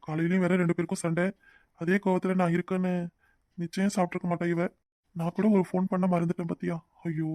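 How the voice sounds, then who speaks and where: Guy acting young husband, talks fast, teasing but soft on his wife, coaxing, dry close sound, family drama. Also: high voice, no room sound. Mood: quietly worried. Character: affectionate, teasing young husband, soothing and apologetic. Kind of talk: domestic serial drama acting.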